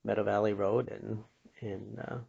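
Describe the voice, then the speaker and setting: An older man with a North American accent and a gravelly voice, telling a personal story in an unhurried, plain-spoken, folksy way, as if passing on a lesson. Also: fairly low pitch, little pitch movement, darkish tone. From Pennsylvania, United States. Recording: dull, muffled lo-fi recording.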